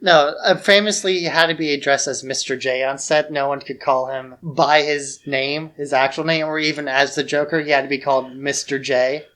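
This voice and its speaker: A man's voice: medium pitch, steady tone, moderate pace, hardly any pauses, a bit bright. A man co-hosting a podcast, giving casual analytical film commentary in loose conversational phrases full of fillers. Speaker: podcast co-host.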